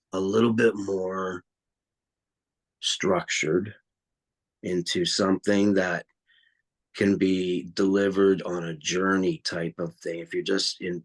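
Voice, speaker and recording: A man, a metal vocalist, talking bluntly and at length in a casual podcast interview, swearing freely. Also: low-pitched. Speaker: metal vocalist and guitarist guest.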